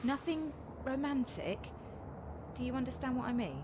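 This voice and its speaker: A woman's voice: high voice, lively intonation, normal speed, background noise. A British actress playing a flighty, good-hearted woman in a radio play, speaking frankly and reassuringly. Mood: kind, frank.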